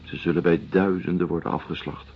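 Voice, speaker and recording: Very deep-voiced, unsentimental doctor. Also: male voice, fairly even intonation, brisk. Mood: cool, fatalistic. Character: cool, unsentimental ship's doctor, pragmatic and fatalistic. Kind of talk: radio drama acting. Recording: muffled lo-fi old radio play recording.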